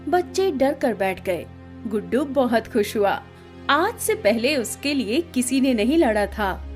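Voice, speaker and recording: A woman narrating a scripted bedtime story in an expressive storytelling manner. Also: a little high, measured pace, over music.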